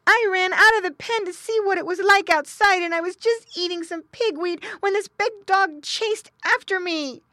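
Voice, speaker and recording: A woman's voice: extremely high pitch, normal speed, few pauses, pushed and bright, no echo, studio quality, voice pushed up. A woman voicing a frightened, pleading little boy pig in a children's storybook, with a thin squealing voice. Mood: tearful.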